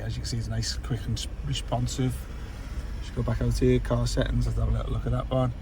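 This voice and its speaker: A man with a croaky voice casually walks through a car stereo install from inside the car, talking loosely with lots of fillers. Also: medium pitch, a little hiss or hum. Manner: relaxed, filler-heavy explaining. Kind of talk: casual product walkthrough.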